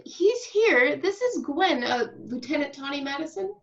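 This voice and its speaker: A high, animated voice of a skeptical actress, read by a woman. She is polite and hesitant, testing what she is told. The sound is a little muffled, like a cheap remote mic. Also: unhurried. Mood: hesitant, curious. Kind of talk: amateur table read of a film script.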